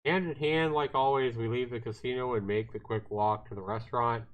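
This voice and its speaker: A man's voice: medium pitch, steady tone, a little fast, narrow, tinny audio. A man livestreaming a visual novel, reading the narration aloud casually and sometimes stumbling and correcting himself. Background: game music with guitar.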